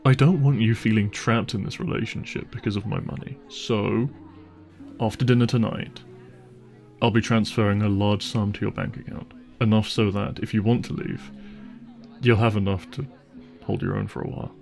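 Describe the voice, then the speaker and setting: A man's voice: on the low side, steady tone, normal speed, creaky, darkish tone, soft background music. A man playing an apologetic, devoted boyfriend with money in an intimate roleplay audio drama, speaking earnestly and tenderly. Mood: earnest, resolute. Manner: earnest, tender, hesitant phrasing with sighs. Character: apologetic, devoted, secretly wealthy boyfriend on a dinner date. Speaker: voice actor.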